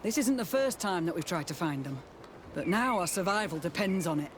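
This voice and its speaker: A man's voice: sits high, expressive, brisk, background noise. A man playing a weary, embittered military captain in a video game cutscene, blunt and sardonic, loyal to his soldiers. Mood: resigned.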